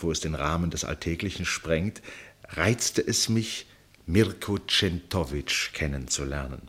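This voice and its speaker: An actor playing a curious, reflective gentleman traveller in a radio play, speaking in a musing, articulate manner. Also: low-pitched. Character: curious, reflective gentleman narrator, a ship passenger. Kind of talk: German literary radio play, narration and dialogue.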